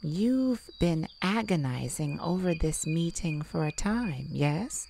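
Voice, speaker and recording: An unhurried, slightly low-voiced mystic, acted by a woman, calm and enigmatic in an intimate audio roleplay. The delivery is soothing, with hesitant pauses as if peering into someone's mind. Faint birds and crickets sound behind the voice. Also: vocal fry, a little hiss or hum, exaggerated voice. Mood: calm, probing. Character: calm, enigmatic mystic who peers into minds. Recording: nature ambience with birds and crickets.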